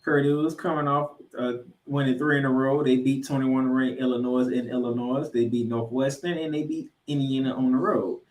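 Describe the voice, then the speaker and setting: A man's voice: medium pitch, steady tone, normal speed, hardly any pauses, a little mellow. American guy doing football podcast host stuff, emphatic and colloquial, kinda echoey room. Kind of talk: animated football recap and preview. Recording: roomy, echoing sound.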